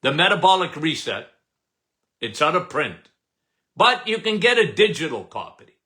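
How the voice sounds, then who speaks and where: An older man from Canada hosting a podcast, loud and emphatic, with a habit of repeating "okay?". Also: fairly high pitch, big pitch swings, normal speed. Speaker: podcast host. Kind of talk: casual live talk.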